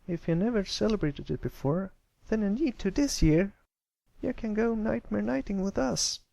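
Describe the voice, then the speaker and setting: An eager little country filly acted by a man speaks in a raised, slightly creaky pitch. The delivery is friendly and folksy, as in a children's pony story read aloud. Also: lively intonation, moderate pace, warm tone, no room sound. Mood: excited. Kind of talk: character voice in storybook reading.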